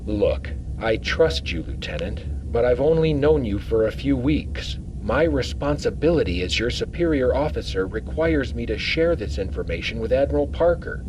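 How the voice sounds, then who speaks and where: A man's voice: medium pitch, noisy background, lightly acted. A man playing a stern, wry acting starship captain in a science-fiction audio drama, with a dry, commanding manner. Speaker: voice actor in fan audio drama.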